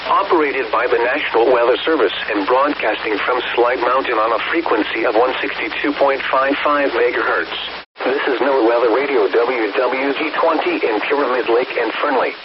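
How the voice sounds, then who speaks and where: A man's voice: mid-range voice, normal speed, very noisy. Muffled male weather radio announcer. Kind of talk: scripted station identification announcement.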